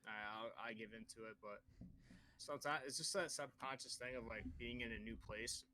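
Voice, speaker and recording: A man's voice: mid-range voice, a little fast, sharp tone. A young man from Massachusetts co-hosting a podcast, telling easygoing stories and acting out bits of dialogue. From Massachusetts, USA. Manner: easygoing storyteller, acts out dialogue. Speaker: podcast co-host. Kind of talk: casual chat. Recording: slightly tinny mic with faint noise.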